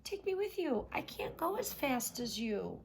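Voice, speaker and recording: A woman voicing an eager little male frog in a storybook, high and insistent. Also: sing-song, a little slow, creaky, slight background noise, full character voice. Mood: pleading.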